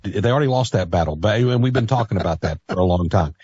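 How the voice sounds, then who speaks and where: Male American morning radio host, slightly low, husky voice, casual and chatty. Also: lively intonation, quick pace, warm tone, dry recording, highs cut off.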